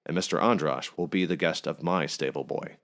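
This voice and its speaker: A man voicing a jovial king in a folk tale, giving brisk regal orders with a playful, teasing edge. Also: extremely low pitch, fairly bright tone. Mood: commanding. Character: jovial, commanding male king, teasing and sly. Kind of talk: folk tale storybook reading.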